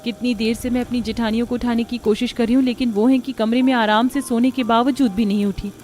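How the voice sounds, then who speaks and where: A woman as a meek, high-voiced young bride. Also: fairly even intonation, fast, a little mellow, close to an everyday voice. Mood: weary, polite. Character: meek, patient young new bride, pleading and dutiful. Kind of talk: dramatized Hindi moral story cartoon.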